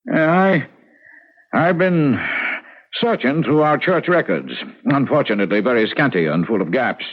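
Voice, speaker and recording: An actor playing a genial, puzzled and scholarly country reverend in a radio drama, with a husky voice. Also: male voice, mid-range voice, lively intonation, normal speed, natural voice. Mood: puzzled, apologetic. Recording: muffled old radio recording.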